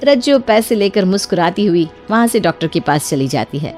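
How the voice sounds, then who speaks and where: Animated woman storyteller with music behind. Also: medium pitch, a little fast. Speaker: narrator. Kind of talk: scripted story narration.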